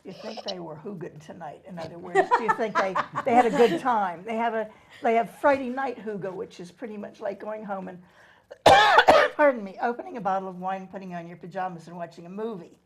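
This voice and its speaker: A woman's voice: medium pitch, very animated, a little fast, a crowd in the background. A middle-aged woman co-hosting a casual group chat, explaining things at length with frequent ums. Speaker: co-host.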